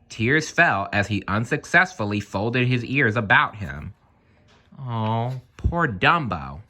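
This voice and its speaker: Male read-aloud storyteller: lowish pitch, expressive, lively swings, made for children. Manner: expressive, animated. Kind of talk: children's read-aloud narration with playful asides.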